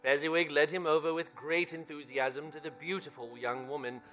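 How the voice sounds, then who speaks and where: An actor narrating a Christmas tale as an earnest storyteller in a radio play. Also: male voice, on the high side, steady tone, moderate pace, bright, forceful tone, lo-fi sound, faint music behind. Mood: warm storytelling. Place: theater stage.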